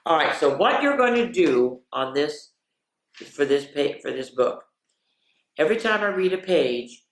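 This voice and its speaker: A woman reading aloud to children as a storyteller, expressive and playful, with chatty asides. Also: low-pitched, measured pace. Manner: expressive, playful, drops into whispers for refrains. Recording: roomy, echoey sound.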